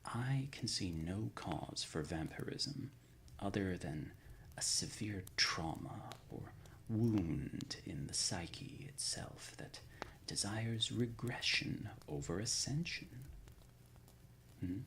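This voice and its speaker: Male actor as ancient undead order leader, gravelly, half-whispered, solemn, formal archaic diction, quietly menacing, ASMR roleplay. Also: deep voice, expressive, takes it slowly, full character voice. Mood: stern, courteous.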